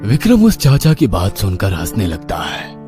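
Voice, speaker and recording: Low-voiced guy telling a spooky story, really dramatic, voice swings around, music playing. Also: wildly swooping pitch, normal speed. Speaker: story narrator. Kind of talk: dramatic scripted horror story narration in Hindi. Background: background music.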